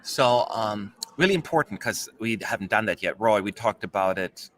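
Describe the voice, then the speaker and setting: A man's voice: fairly low pitch, lively intonation, normal speed, fairly bright tone. A man hosting a casual livestream chat, playful and teasing. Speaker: host.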